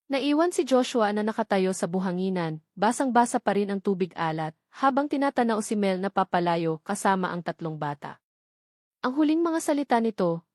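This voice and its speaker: A woman's voice: mid-range voice, darkish tone, no echo. Female audiobook narrator, Filipino accent, mid-range voice, dramatic romance storytelling, close dry sound.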